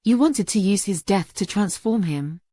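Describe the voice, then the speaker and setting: A woman's voice: medium pitch, lively intonation, normal speed, dark tone, faint music behind, natural voice. A woman playing a composed, polite listener in an audio play. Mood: calm, polite.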